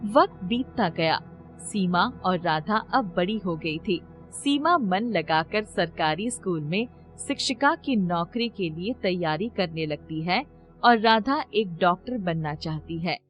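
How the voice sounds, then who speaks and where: A woman's voice: lowish voice, animated, unhurried, soft background music. A woman storyteller reading a scripted children's story in narration style.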